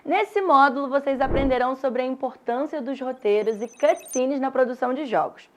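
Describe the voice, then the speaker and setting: A woman's voice: sits high, normal speed, noisy background. A woman with a Brazilian Portuguese accent narrating a scripted online lesson in a didactic way, speaking straight to the students. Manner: didactic, addresses students directly. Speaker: teacher presenting an online course.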